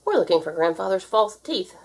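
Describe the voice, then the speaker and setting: A mild-mannered storybook father voiced by a woman. He explains things in a lively, varied pitch, with a touch of creak in the voice. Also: fairly quick. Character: kindly storybook father, adult man, unhurried and mild.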